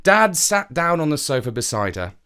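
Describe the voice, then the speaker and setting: A man narrating a children's story in an expressive storytelling manner. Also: fairly high pitch, normal speed, smooth.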